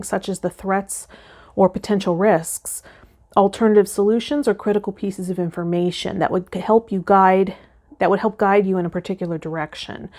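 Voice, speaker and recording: A woman with a soft-edged, mellow voice presents a tarot vlog. Her pitch sits in the middle for a woman. She speaks in a measured, instructional manner, explaining cards and reading guidance aloud. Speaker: tarot channel host.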